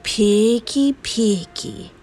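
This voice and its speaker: A woman voicing Herbert, a mild, polite, bookish male monster in a children's storybook, with a breathy, earnest delivery. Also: sits high, very animated, slow pace, darkish tone, soft background music, pitched above the performer's own voice, exaggerated voice. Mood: huffy.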